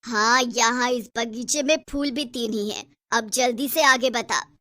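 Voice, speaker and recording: Lady doing a high pitched cackly witch for a spooky cartoon, bossy and taunting, dry recording. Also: unhurried, bright, forceful tone, no room sound. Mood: menacing, taunting. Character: gloating, bossy, selfish female witch who kills people. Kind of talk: Hindi horror cartoon story acting.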